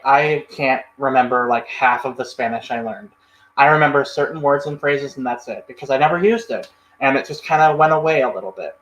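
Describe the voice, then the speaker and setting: A man chats with his livestream viewers from a room at home, his fairly high voice wandering through friendly, rambling thoughts full of fillers. He keeps thanking people and answering comments as they come in. The sound is roomy, with a clear echo off the walls. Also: fairly bright tone. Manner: rambling, chatty, many fillers and restarts. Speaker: livestream host. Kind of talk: casual chat reading live comments.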